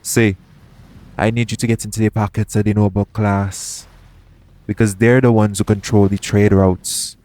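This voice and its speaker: A man's voice: on the low side, flat delivery, normal speed, mellow, lightly acted. A man playing a shady, persuasive pirate captain in an audio roleplay, bargaining straight to the listener in roguish, ungrammatical speech. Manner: coaxing, bargaining, roguish dialect grammar. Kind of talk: audio roleplay acting, addressing the listener directly.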